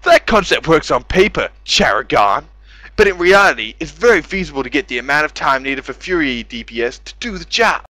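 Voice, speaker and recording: A man's voice: fairly high pitch, big pitch swings, brisk, pushed and bright, no room sound. A man doing a sarcastic, exasperated, profane dramatic reading of forum posts, exaggerating as he goes. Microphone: close mic on gaming voice chat.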